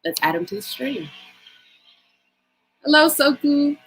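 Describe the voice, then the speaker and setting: A woman's voice: high-pitched, big pitch swings, rough recording. A woman hosting a casual podcast on a livestream, upbeat and chatty. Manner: upbeat, chatty, drawn-out emphasis. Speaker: podcast host.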